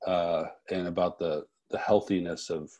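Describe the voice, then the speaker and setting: A man hosting an unscripted interview, speaking haltingly and rephrasing his questions as he goes. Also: deep voice, steady tone, darkish tone. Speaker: interviewer and festival host.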